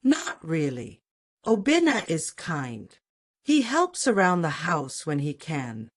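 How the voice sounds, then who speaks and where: A woman voicing a kind, trusting young bride in a folktale, gentle and easygoing. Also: low-pitched, sing-song, slow pace, exaggerated voice. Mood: relaxed, casual.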